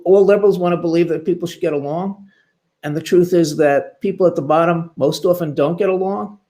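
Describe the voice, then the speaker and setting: A man talks as a historian in an informal scholarly discussion. His voice is slightly high, and he moves haltingly, repeating words and stacking short emphatic phrases. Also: a little mellow. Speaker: panelist, historian. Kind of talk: informal scholarly panel talk.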